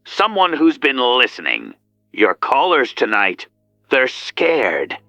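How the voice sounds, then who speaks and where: An actor playing a menacing male killer who calls a radio show, calm and teasing. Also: medium pitch, creaky, dry recording, muffled recording, soft background music, heavily acted. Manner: slow, teasing, sinister. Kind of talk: audio drama acting.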